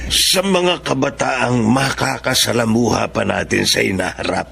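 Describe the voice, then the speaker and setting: A man's voice: medium pitch, moderate pace, gravelly, fairly bright tone. An actor playing a grateful older male street vendor in a radio drama, with a Filipino accent and a heartfelt, earnest way of speaking.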